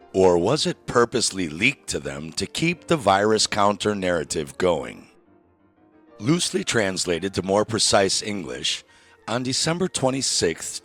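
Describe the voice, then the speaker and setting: A man's voice: on the low side, a bit bright. A man narrating a documentary in a scripted, wry and sardonic way, with dry asides.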